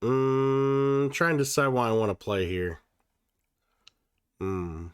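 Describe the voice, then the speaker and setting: A man's voice: medium pitch, expressive, slow pace, smooth, dry recording, studio quality. Sick, nasal-sounding man streaming casually. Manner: casual and profane, with many fillers and restarts. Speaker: livestream host. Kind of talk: casual livestream chat.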